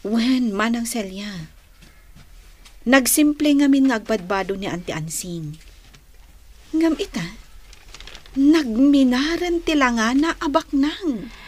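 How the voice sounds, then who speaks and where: A woman's voice: on the high side, animated, slow, pauses often. An actress with an Ilocano accent playing a sweet, teasing young lady in a radio comedy drama. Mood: bright, admiring.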